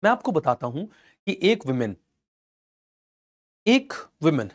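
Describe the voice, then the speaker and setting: A young Indian man with a mildly hoarse throat leads a live online history lesson. His voice sits a little high, and his tone is calm and reassuring. He speaks Hindi with English mixed in. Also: slow pace. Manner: reassuring, calls students "beta", mixes English into Hindi. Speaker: online teacher.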